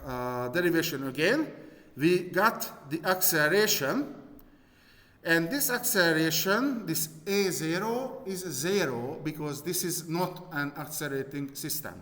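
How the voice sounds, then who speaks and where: Hungarian man, Hungarian accent, physics lecturer, slow, fillers and restarts, dry humor, very echoey hall. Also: on the high side, animated, fairly bright tone. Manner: halting, many fillers and restarts, dry jokes. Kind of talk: live classroom lecture.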